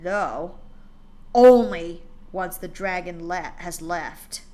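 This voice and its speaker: A woman voicing a cruel, abusive male pony father who speaks loudly with a gloating, growling edge. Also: lowish voice, takes it slowly.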